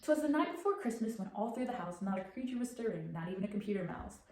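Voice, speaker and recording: A woman's voice: mid-range voice, expressive, fast talker, a little mellow, lightly acted. A teenage woman playing a storybook narrator, reciting rhymed Christmas verse in a projected, declamatory way. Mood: storytelling. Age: teens, high school senior. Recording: echoey room, faint background noise.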